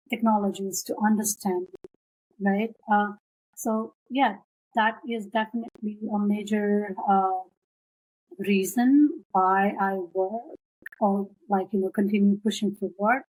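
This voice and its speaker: Young woman from Nepal, native Nepali speaker, interview guest, thoughtful, hesitant restarts, mid-pitched voice. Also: darkish tone, rough recording. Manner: thoughtful, with many fillers and restarts. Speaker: guest, applied scientist. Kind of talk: casual interview conversation.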